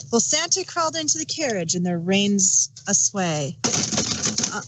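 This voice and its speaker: A woman narrator reading a storybook tale in rhyming verse with an expressive read-aloud cadence. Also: mid-range voice, noisy background.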